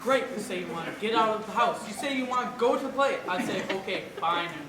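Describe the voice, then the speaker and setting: A man's voice: sits high, expressive, fast talker. Young actor as sullen guy, distant and echoey. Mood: defensive. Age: teenager or young adult. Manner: sullen, blunt, colloquial. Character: bored, defensive, slangy young man dragged to theater. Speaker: school play actor. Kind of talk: theatrical stage acting. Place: large school auditorium stage. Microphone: far camera. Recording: distant camera, echoey auditorium, clear noise.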